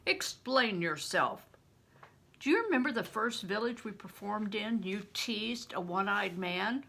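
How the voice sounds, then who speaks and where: Woman reading as a big, husky, confident man. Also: mid-range voice, animated, a little slow, pushed and bright, heavily acted. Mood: demanding. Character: big, confident, gruffly fond grown man, protective mentor.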